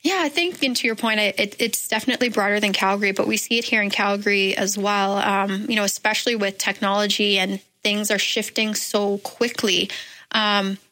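A woman's voice: mid-range voice, steady tone, fairly quick, pushed and bright, low-quality recording. A woman from the Bahamas, a guest in a radio studio interview, answering thoughtfully with frequent "um" fillers. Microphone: studio microphone.

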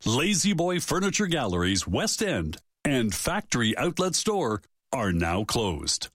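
A man's voice: mid-range voice, very animated, unhurried, fairly bright tone, husky, dry recording, clean studio sound. A man reading a scripted radio ad with a punchy, emphatic delivery. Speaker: ad announcer.

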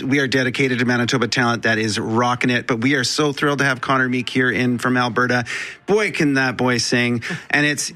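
A man, a theatre's artistic director, speaking in an effusive, gushing way in an interview. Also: mid-range voice. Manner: gushing, effusive, warm praise. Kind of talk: enthusiastic interview talk.

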